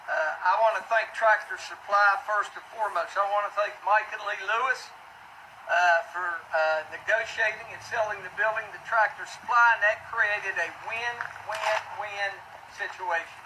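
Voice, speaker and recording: Male city official, high voice, folksy and halting press remarks, picked up from a distance. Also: bright, forceful tone. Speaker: city official speaking at an announcement. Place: public announcement event. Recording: noisy distant mic, ambient background hum.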